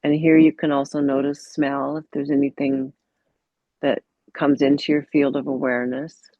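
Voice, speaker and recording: A woman meditation teacher leading a guided mindfulness practice in a gentle, unhurried way, pausing between short phrases, on dull, muffled audio. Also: a bass voice, little pitch movement, no room sound.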